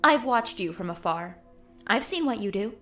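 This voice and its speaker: A woman's voice: mid-range voice, expressive, normal speed, fairly bright tone, soft background music. A woman playing a guarded, cryptic female ghost in an audio drama, speaking in an aloof, challenging and enigmatic way. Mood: enigmatic, probing.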